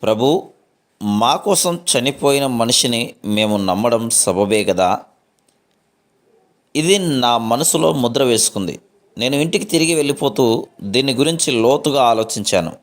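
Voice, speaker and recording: Male devotional reader, medium pitch, scripted narration, measured phrasing, solemn delivery. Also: animated.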